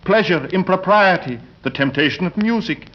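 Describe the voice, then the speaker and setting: An actor playing a brooding religious fanatic in a 1940s radio drama, speaking formally in deliberate, sermonizing phrases. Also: male voice, sits high, expressive. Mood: fervent, condemning. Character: brooding, pious, sinister male lodger, religious fanatic. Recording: muffled, noisy old 1940s radio recording.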